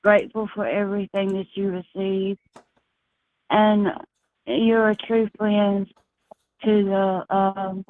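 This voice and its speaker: A soft-spoken woman from Texas calls in over a tinny phone line. Her flat, even delivery is earnest and halting, full of small pauses. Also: mid-range voice, dry recording. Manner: earnest, halting, many fillers. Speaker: caller.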